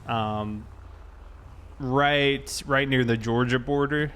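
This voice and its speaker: A man's voice: mid-range voice, steady tone, slow pace, a bit bright, clean tone. An American man chatting casually and in a laid-back, conversational way while livestreaming a game.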